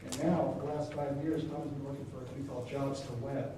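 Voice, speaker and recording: A man hosting a professional meeting, introducing the next speaker with halting, filler-heavy speech. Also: mid-range voice, flat delivery. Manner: hesitant, many uh fillers. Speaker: event organizer and host. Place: meeting room with audience. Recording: echoey room from far off with noise.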